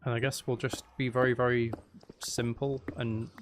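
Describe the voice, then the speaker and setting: A man with a gravelly voice giving casual, rambling, sweary commentary as he thinks aloud while playing a video game. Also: mid-range voice, flat delivery, normal speed, slight background noise. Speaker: gaming video host.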